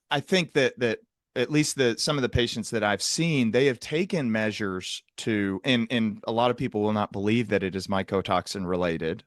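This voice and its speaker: Male interviewer, fairly low voice, very dry recording, casual and thoughtful phrasing. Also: no echo. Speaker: podcast host.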